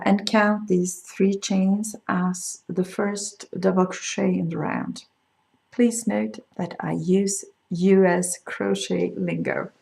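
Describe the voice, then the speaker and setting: A middle-aged woman with a Swedish accent, a crochet designer talking casually to camera and explaining things with frequent pauses and fillers. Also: medium pitch, vocal fry, darkish tone. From Sweden. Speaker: crochet designer hosting a video podcast. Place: home in France.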